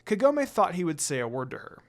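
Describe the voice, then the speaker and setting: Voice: medium pitch, very animated, brisk. A narrator reading a scripted fan fiction story aloud.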